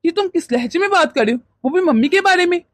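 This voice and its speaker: एक औरत घरेलू मेलोड्रामा कहानी में माँ के भक्त, नरम और उलझन में पड़े जवान पति का किरदार निभा रही है, जो घबराकर शब्द दोहराता है।. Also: female voice, very high voice, expressive, fast talker, a bit bright, dry recording, clean studio sound. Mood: bewildered, defensive. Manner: flustered, repeats words in questions. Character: young husband, devoted son, bewildered and mild. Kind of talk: melodramatic domestic story acting.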